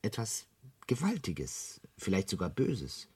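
A man's voice: fairly low pitch, wildly swooping pitch, takes it slowly, a little mellow, slightly rough, dry recording, full character voice. An actor in a German radio play playing an earnest, bewildered ordinary man, a little hesitant. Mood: troubled, earnest.

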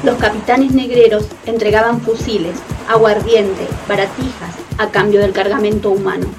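A woman's voice: medium pitch, flat delivery, normal speed, far from the mic, music in the background. A woman reading scripted dramatic narration for a radio drama.

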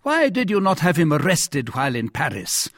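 Storybook king, voiced by a male reader: jealous, imperious, high and swooping, indignant, husky edge, dry sound. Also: huge pitch swings, normal speed, a bit bright, no echo. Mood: jealous, insistent. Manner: heated, insistent, indignant outbursts. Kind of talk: dramatised classic novel reading.

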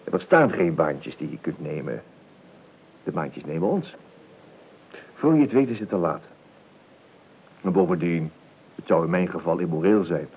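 Whimsical, rambling idle gentleman, animated. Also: low-pitched, normal speed, long pauses. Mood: plaintive. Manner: hesitant, repeating words, rambling. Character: idle, whimsical, talkative gentleman heir, guilt-ridden, dawdling in bed. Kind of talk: radio play acting. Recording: muffled phone-like old radio recording, hiss.

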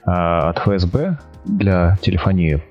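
A man explaining products as a guest in a podcast interview, speaking haltingly with drawn-out hesitations. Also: deep voice, animated, lo-fi sound, faint music behind. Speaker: guest, telecom equipment maker's project manager.

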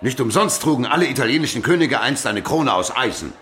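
An actor playing a blustering, imperious medieval emperor in a radio drama, indignant and booming. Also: male voice, expressive, brisk, a bit bright, a little hiss or hum, close to an everyday voice. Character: hot-tempered, blustering medieval German emperor.

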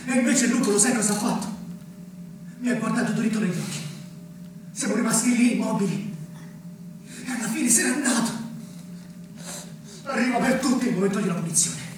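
An actor playing a defiant, guilt-ridden man haunted by an old crime, telling it slowly and deliberately in a theatrical stage style. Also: sits high, natural voice. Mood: haunted, confessional. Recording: echoey, noisy theatre recording from far off.

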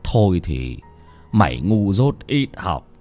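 A man voicing a frail old village father in a told story, strained and breathy, scolding yet loving. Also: sits low, big pitch swings, takes it slowly, a little mellow, soft background music, exaggerated voice. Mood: dying, feeble.

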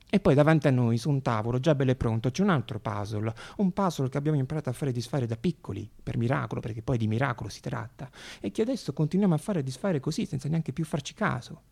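A man performing a theatrical storytelling monologue, with dramatic pauses, repeated phrases and shifts between hushed and emphatic delivery. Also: fairly high pitch, gravelly, husky. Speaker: actor and monologuist.

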